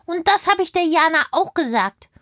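A woman's voice: very high voice, expressive, normal speed, a bit bright, no echo, clean studio sound. A woman playing a chatty, indignant kindergarten girl in a children's audio play, telling things in childlike everyday words. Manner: childlike, colloquial storytelling.

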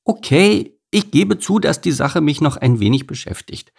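A man's voice: fairly high pitch, very animated, moderate pace, creaky, voice pushed up, full character voice. A man voicing a melodramatic, indignant female cow who whines and complains shrilly in a storybook. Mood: evasive, reluctant. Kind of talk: storybook character voice.

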